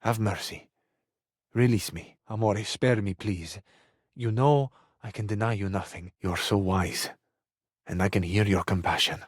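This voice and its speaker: A man's voice: fairly low pitch, unhurried, dry recording, studio quality. A man voicing a suave, passionate living cabinet in a video game, with an Italian accent and a florid, flirtatious, earnest way of talking. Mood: pained, pleading. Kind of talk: theatrical romantic video game voice acting.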